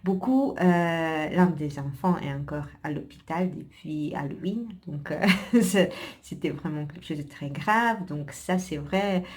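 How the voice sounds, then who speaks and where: A woman with an Italian accent chats slowly and loosely on her own podcast, her voice low and mellow. She stops and restarts often, hunting for words between fillers, and laughs softly at herself. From Italy. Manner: hesitant, many fillers and restarts, frequent laughs. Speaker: podcast host. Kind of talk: casual personal podcast chat.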